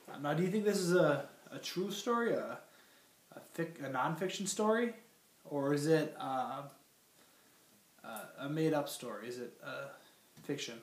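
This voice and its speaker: A young man from Minnesota with a cold, teaching a classroom lesson and reading a story aloud in a plain, teacherly way with many fillers. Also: fairly high pitch. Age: young adult. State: has a cold. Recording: roomy sound from far off.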